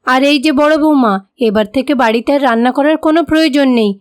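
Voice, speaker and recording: A woman's voice: high-pitched, quick pace, lightly acted. A woman voicing a stingy, bossy, middle-aged mother-in-law in a moral-story cartoon, with a Bengali accent and a scolding, domineering manner.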